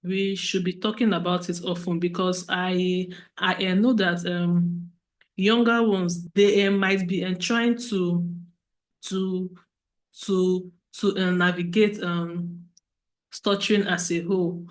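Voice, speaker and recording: A woman's voice: fairly low pitch, steady tone, slow pace. A woman hosting a casual interview, asking questions hesitantly with repeated words and many ums. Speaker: podcast host.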